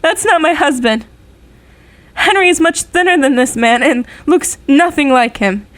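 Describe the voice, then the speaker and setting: Woman playing an anxious, high-voiced radio-drama wife. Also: expressive, a little slow. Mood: distressed. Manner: fretful, pleading, polite. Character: anxious, polite wife in her mid-thirties. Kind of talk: 1940s radio melodrama acting.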